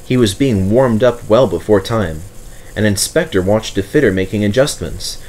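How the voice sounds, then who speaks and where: A man's voice: lowish voice, expressive, moderate pace, darkish tone, a little hiss or hum, poor audio. A man narrating a children's story from a script as the storyteller.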